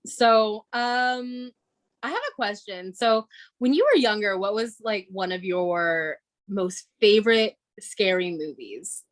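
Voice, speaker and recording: This is a woman in her thirties co-hosting a podcast. She talks casually with plenty of "um"s and laughs, and her voice sits at an ordinary middle pitch. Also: lively intonation, slow pace. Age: 30s. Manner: giggly, chatty, lots of fillers. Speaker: podcast co-host. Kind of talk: casual chat.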